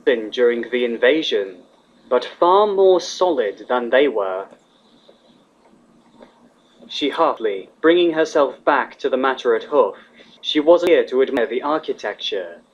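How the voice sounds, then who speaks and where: Guy reading out a fanfic as narrator, medium pitch, kinda muffled lofi audio. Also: animated, unhurried. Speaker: narrator of a fan fiction story. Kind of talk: scripted storybook narration. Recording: dull, lo-fi sound.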